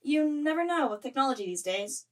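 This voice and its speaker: A woman's voice: high-pitched, expressive, a little fast. A woman voicing a casual, slightly awkward young passenger in an amateur audio drama. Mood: friendly.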